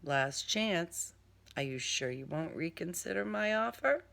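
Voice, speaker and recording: A man's voice: on the high side, lively intonation, a little slow, creaky, sharp tone, heavily acted. A woman voicing a coolly polite, menacing male serial killer in an audiobook, with formal, genteel wording. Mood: persuasive, controlled.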